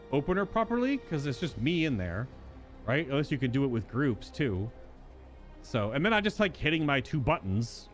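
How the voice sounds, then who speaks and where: Male streamer, animated intonation, casual thinking-out-loud game commentary, faint music underneath. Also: fairly high pitch. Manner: rambling, musing, thinking aloud. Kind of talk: casual live stream commentary, reading game dialogue aloud.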